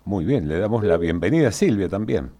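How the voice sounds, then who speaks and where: A man's voice: low voice, big pitch swings, fairly quick, mellow. A man with an Argentine accent presenting live radio as a studio anchor.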